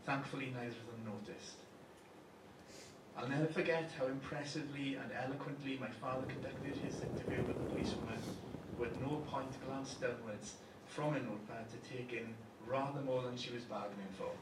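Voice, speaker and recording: A young man reads out his own story, keeping the delivery dry and understated. He sounds set back from the mic in a roomy space, and a steady hiss of noise sits under his voice. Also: medium pitch, a little fast. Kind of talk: scripted personal story narration. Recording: roomy, distant and noisy.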